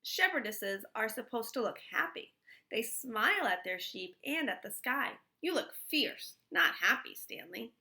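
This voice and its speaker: Woman reading as a prim bossy museum boss man from a kids book, bright voice, fussy and instructive. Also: fairly high pitch, lively intonation, a little slow, full character voice. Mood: critical. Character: fussy, bossy adult male museum director in a storybook.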